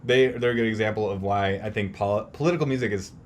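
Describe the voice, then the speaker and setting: A man's voice: on the low side, fast talker, a little hiss or hum. A man co-hosting a casual podcast, talking in an emphatic, slangy, opinionated way with some swearing. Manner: profane, emphatic slangy ranting. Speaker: podcast co-host; teacher.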